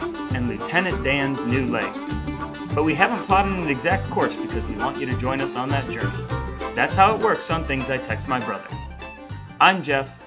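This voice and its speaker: A man hosting a podcast in a scripted yet conversational style, with playfully grandiose, wordy phrasing. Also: on the high side. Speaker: podcast host.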